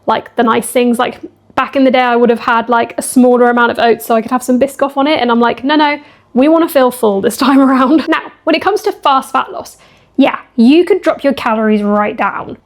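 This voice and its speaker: A woman with a slightly croaky, husky voice, chatting upbeat and rambling in a casual fitness vlog. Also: on the high side, a little fast. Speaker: fitness vlogger and coach. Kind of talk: casual talking-to-camera vlog.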